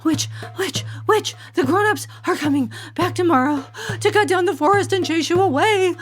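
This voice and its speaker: A woman's voice: very high-pitched, moderate pace, faint music behind, pitched above the performer's own voice. A woman voicing anxious village children in a storybook, pleading urgently.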